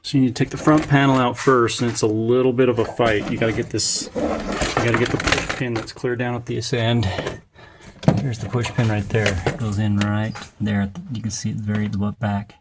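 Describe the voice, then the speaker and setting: A man's voice: fairly low pitch, little pitch movement, fairly quick. A man giving a casual, step-by-step installation tutorial in a plain-spoken, folksy way. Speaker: installer presenting a how-to. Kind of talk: casual hands-on tutorial narration. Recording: clear background noise, occasional clicks.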